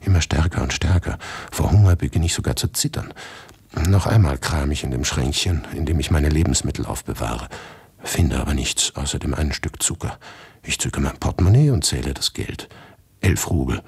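Very deep, gravelly brooding writer. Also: male voice, darkish tone, full character voice. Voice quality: gravelly, husky. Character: brooding male writer narrating his absurd misfortune. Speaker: narrator in a radio play. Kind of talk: first-person radio play narration.

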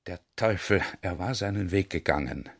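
Man playing a low-voiced self-satisfied army general. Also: normal speed, breathy edge. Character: plump, self-satisfied army general, eager among intellectuals. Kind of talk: radio drama character speech.

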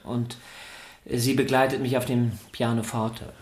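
An actor playing an otherworldly, earnest violin virtuoso in a radio play, speaking with precious, formal politeness. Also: male voice, mid-range voice, flat delivery, a little slow, a little mellow, roomy sound, natural voice. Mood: polite, fond.

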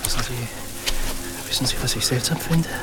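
A man acting as a calm, shrewd, cryptic Iroquois army scout in a war radio play, speaking deliberately and often hushed. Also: mid-range voice, noisy background, natural voice. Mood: hushed, ominous. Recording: loud music under the voice. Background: loud music.

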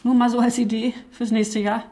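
A woman's voice: on the high side, flat delivery, moderate pace, mellow, natural voice. An actress playing a strict, officious female tax official in a radio drama. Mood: dismissive. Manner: curt, officious, ranting about tax injustice. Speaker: tax office clerk Frau Eckert.

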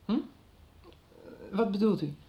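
A woman's voice: medium pitch, slow, natural voice. An actress playing an anxious, disapproving mother in a radio drama, firm but hesitant and evasive. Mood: worried, reproachful. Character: anxious, disapproving middle-aged mother of teenage boy. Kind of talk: 1990s radio drama acting. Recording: slightly dull radio drama recording.

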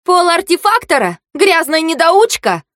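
A woman voicing a quarrelsome, hot-tempered young lady in an audiobook, shrill and snapping. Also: big pitch swings, moderate pace, a bit bright, no echo, clean studio sound. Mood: furious.

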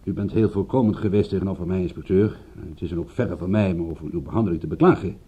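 A man's voice: sits low, quick pace, dark tone, slightly rough. A man playing an indignant, glib British private detective in a radio drama, argumentative and wordy, stumbling into his sentences. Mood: polite, insistent. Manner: argumentative, stammering starts, wordy formal retorts.

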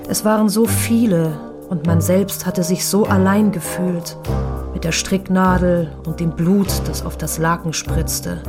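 A woman performing as a reflective narrator of a collective memoir, in measured, articulate literary German radio-drama narration. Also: lowish voice, close to an everyday voice. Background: music.

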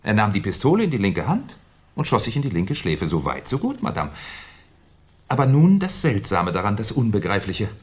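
An actor playing a shrewd, sardonic Paris police inspector in a 1970s German radio crime play, deliberate and mock-courteous. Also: male voice, fairly low pitch, huge pitch swings, breathy edge, full character voice. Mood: sardonic lecturing.

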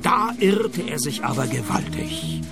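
An actor playing a sardonic, world-weary private detective who narrates his case in a deadpan radio play manner. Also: a little high, measured pace, over loud music, exaggerated voice. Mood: dry narration. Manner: deadpan, sarcastic. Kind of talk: radio play dialogue and first-person narration.

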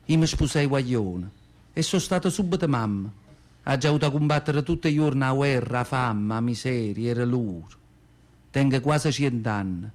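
A man's voice: mid-range voice, normal speed, darkish tone, lightly acted. A man playing a nearly hundred-year-old poor, devout woman from southern Italy, telling her memories in a radio monologue with a Campanian accent and folksy dialect. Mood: weary, proud. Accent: Neapolitan-area southern Italian (Campania). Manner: folksy dialect storytelling, plaintive, repeats words for emphasis. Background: acoustic guitar music.

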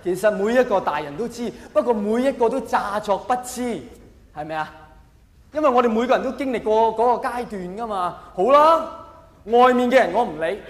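Hong kong guy doing standup, pretty high voice, theatrical storyteller, big echoey hall. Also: lively intonation, moderate pace, slight background noise. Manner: theatrical storytelling with rhetorical questions. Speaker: stand-up comedian. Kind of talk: stand-up comedy monologue. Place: live on stage in a large hall. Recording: echoey hall with long reverb.

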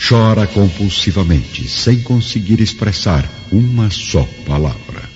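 Deep, reverberant male radio drama narrator. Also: animated, measured pace. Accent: Brazilian. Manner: solemn, theatrical. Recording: muffled, reverberant studio with music.

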